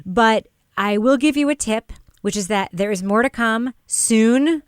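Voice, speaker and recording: A woman's voice: fairly high pitch, measured pace, clear, resonant tone, no room sound, clean studio sound. A woman hosting a conversational podcast, giving recommendations in an emphatic, enthusiastic way. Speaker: podcast host and moderator.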